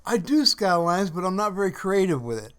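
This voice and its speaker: A man chatting casually on a livestream in a halting, rambling way, often searching for words. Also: high-pitched, very animated, fairly bright tone, clear, resonant tone. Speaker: live streamer.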